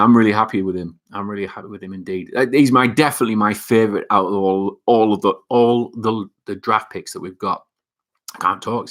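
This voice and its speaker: Young male host, Northeast England accent, lowish pitch, loose rambling delivery full of ums. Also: fairly bright tone. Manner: rambling, many fillers and restarts. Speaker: livestream host reading chat. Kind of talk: casual live chat.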